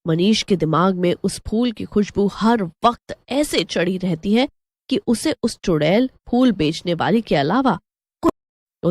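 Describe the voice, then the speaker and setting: Animated woman storyteller. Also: medium pitch, no echo. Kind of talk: scripted horror story narration.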